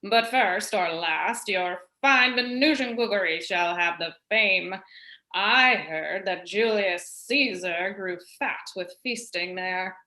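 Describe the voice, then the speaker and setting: A woman's voice: mid-range voice, slow, pushed and bright, reverberant. A woman playing a proud rebel space captain with stately, declamatory delivery in a Shakespearean radio play. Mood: hospitable.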